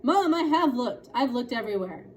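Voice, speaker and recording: A fretful, quarrelsome girl from a storybook, acted out by a woman reading aloud. She whines and accuses, pitched up high, over clear background noise. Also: sing-song, moderate pace. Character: fretful, accusing girl missing her shorts, whiny and quarrelsome. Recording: clearly noisy room recording.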